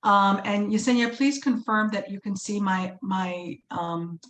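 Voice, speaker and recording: A woman's voice: mid-range voice, unhurried, fairly bright tone. Middle-aged woman from Uganda, webinar instructor, upbeat and encouraging, loose with fillers; video-call audio in an echoey room. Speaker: workshop presenter and fundraising instructor. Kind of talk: live webinar teaching. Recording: echoey room with faint ambient noise.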